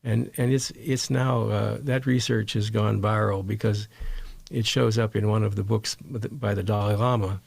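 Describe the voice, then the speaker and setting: Male interview guest, strongly fry-laden voice, dry close sound, halting conversational delivery with repeated words. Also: lowish voice, steady tone, a little mellow, no room sound. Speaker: guest, meditation teacher.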